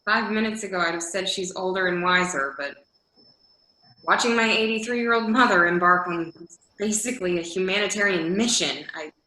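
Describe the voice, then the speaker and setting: A woman's voice: medium pitch, normal speed, sharp tone, lightly acted. A woman playing a middle-aged, world-weary caseworker who is guarded but caring, in a readers theater scene. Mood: wry, softening. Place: indoor room on a video call. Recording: echoey room on video call.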